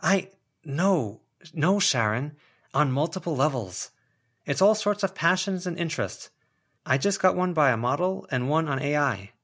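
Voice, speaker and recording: A guarded young woman in an audiobook, played by a man, pitched up from the narration. She is weary and halting, staying polite while sounding exasperated. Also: animated, a bit bright, exaggerated voice. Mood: awkward, halting.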